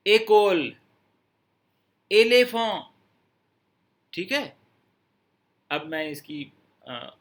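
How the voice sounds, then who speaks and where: Slow, bright-voiced teacher man. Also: on the high side. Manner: slow, patient explaining with drawn-out pauses and fillers; mixes Hindi with English phrases. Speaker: French teacher. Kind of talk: online language lesson.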